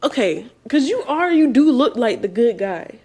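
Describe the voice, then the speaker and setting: A woman in her twenties talks casually with her partner in slangy, animated speech. She tells stories with plenty of laughter. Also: a little high, moderate pace, husky. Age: 20s. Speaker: co-host. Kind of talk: casual couple chat.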